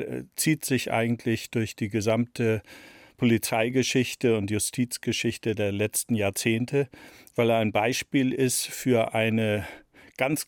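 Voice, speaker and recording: A man's voice: mid-range voice, darkish tone, no room sound, studio quality. A man in his sixties giving reflective, explanatory interview answers, repeating words for emphasis. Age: 60s. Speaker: radio play author being interviewed.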